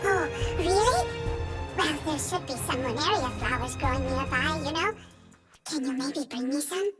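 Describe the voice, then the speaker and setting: A woman's voice: high voice, animated, normal speed. A woman voicing a small, naive, childlike female alien in a video game cutscene, pleading with hesitant little questions. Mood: hopeful, wheedling. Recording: loud music behind the voice. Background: loud game music.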